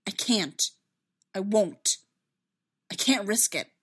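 A woman voicing an anguished, self-doubting young male Jedi in a story, impassioned and halting. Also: medium pitch, animated, slightly rough. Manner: impassioned, halting, insistent repetition.

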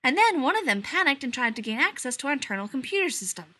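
A man's voice: very high voice, very animated, quick pace, pushed and bright, pitched above the performer's own voice, heavily acted. A woman voicing a laid-back male air force colonel who drawls his words and keeps a dry tone. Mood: wry.

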